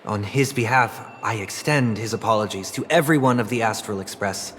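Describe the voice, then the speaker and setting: A man playing a courteous, composed leader of a powerful family in a video game, speaking formally and with measured grace. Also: lowish voice, slight background noise, natural voice. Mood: polite, reassuring. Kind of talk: English video game voice acting. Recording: music behind the voice.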